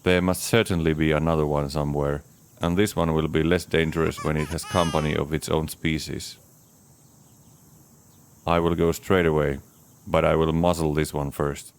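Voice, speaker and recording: Very deep-voiced man reading a diary. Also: a little hiss or hum. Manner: measured, deadpan first-person storytelling. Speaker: audiobook reader. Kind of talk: dramatic reading of a diary as Adam.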